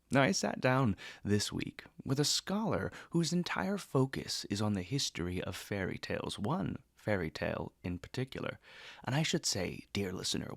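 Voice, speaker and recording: A man playing a genteel, erudite eighteenth-century gentleman who hosts a podcast with courtly, theatrical phrasing. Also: medium pitch, creaky, no room sound, clean studio sound, heavily acted. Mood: storytelling, courtly. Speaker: podcast host. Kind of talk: formal podcast introduction and interview.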